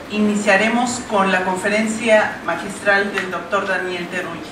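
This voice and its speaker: Formal woman introducing a speaker. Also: medium pitch. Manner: formal, measured. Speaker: conference host introducing the speaker. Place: large conference hall. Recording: echoey hall from far off, noisy.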